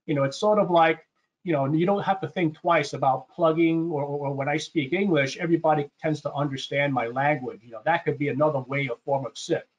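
A man's voice: on the high side, fairly even intonation, brisk. A man hosting a product webinar, explaining slides in an informal, conversational way and often repeating his phrases. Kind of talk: informal slide presentation to an online audience. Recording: dull, muffled webinar audio.

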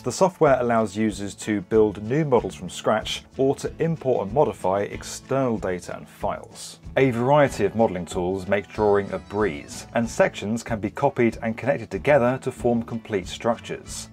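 A British man in his thirties giving upbeat, scripted documentary narration, recorded in a home studio on a lapel mic. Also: lowish voice. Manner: clear, upbeat explainer delivery. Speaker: channel presenter.

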